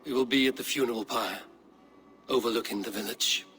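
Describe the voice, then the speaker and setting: An actor playing a steady, commanding male Viking clan leader in a game cutscene. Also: mid-range voice, a little fast, a little hiss or hum, close to an everyday voice. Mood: resolute. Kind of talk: video game cutscene acting.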